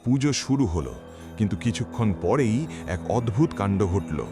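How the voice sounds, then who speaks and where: A man's voice: on the low side, expressive, moderate pace. A man narrating a scripted horror story in a dramatic style. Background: very loud background music.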